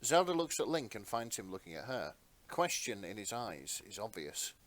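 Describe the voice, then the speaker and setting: A man's voice: fairly low pitch, big pitch swings, moderate pace, fairly bright tone. Man narrating a story theatrically.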